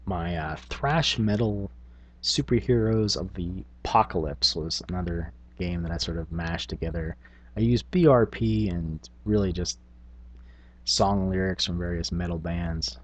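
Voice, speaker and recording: A man with a very low voice hosts a hobby gaming video. His tone is slightly rough and husky, and he talks in a loose, casual, thinking-aloud way. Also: unhurried. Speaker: tabletop gaming video host. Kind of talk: casual rambling talk.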